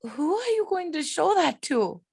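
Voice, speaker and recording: A woman's voice: extremely high pitch, big pitch swings, unhurried, creaky, no room sound, exaggerated voice. A woman playing a compassionate, anxious young heroine, earnest in a script table read. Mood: worried, earnest.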